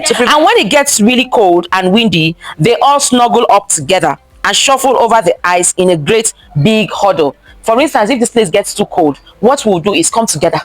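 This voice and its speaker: A woman's voice: medium pitch, dry recording, poor audio. A woman reading a picture book aloud to children, expressively, repeating phrases and stopping to ask them questions. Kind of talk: interactive children's story time read-aloud.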